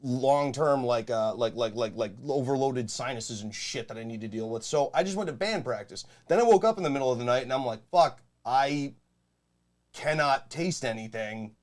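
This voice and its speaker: A man's voice: mid-range voice, moderate pace. Man, Rochester accent, nasal, co-host, swears a lot, joking chat. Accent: Rochester, New York. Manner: swears freely, blunt and joking. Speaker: podcast co-host. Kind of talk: casual chat.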